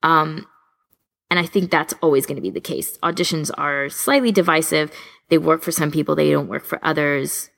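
A woman co-hosts a podcast in a casual, chatty style. Her voice sits on the low side and is markedly creaky, with plenty of fry in it. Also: steady tone, normal speed, fairly bright tone. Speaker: podcast co-host.

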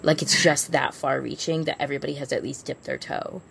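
A woman's voice: fairly even intonation, a little fast, gravelly, crowd noise. A woman co-hosting a casual fan podcast, chatting informally in an exclamatory, teasing way. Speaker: podcast co-host. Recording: faint rumbling background noise.

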